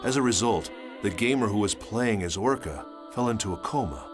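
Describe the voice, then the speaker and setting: An actor playing a sober engineer recounting his journal in measured, grave narration for a video game. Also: fairly low pitch, expressive, over music, close to an everyday voice. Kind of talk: scripted dramatic narration.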